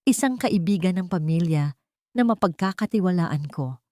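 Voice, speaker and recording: A woman's voice: fairly low pitch, expressive, moderate pace, darkish tone, dry recording, clean studio sound, natural voice. Woman reading as an urgent, protective wealthy wife. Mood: firm. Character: resolute, protective wealthy wife, anxious but firm. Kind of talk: audiobook character dialogue.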